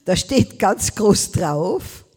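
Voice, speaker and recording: A woman's voice: medium pitch, lively intonation, extremely slow, darkish tone, husky, in a large hall. An older woman from Tyrol with a Tyrolean accent, preaching a sermon emphatically, drawing out words and calling out Amen and Hallelujah. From Tyrol, Austria.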